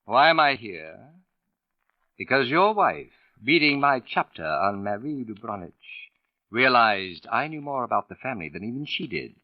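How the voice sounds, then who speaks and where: Guy acting a smug pompous old-radio sleuth, really animated, precise wording, dry muffled 1940s sound. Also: mid-range voice, normal speed, dry recording, close to an everyday voice. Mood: smug, theatrical. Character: suave, condescending male writer turned shrewd accusing sleuth. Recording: dull vintage radio recording.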